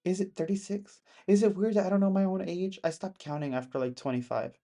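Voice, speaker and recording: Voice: medium pitch, steady tone, brisk, mellow. A person in their thirties chatting casually with live-stream viewers from home in the countryside, rambling and laughing often. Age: 30s.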